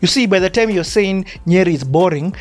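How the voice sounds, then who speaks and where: A man hosting an interview, asking questions and switching into Swahili. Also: high voice. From Kenya. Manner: prompting, asks to slow down, code-switches to Swahili. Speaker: interview host. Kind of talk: casual interview.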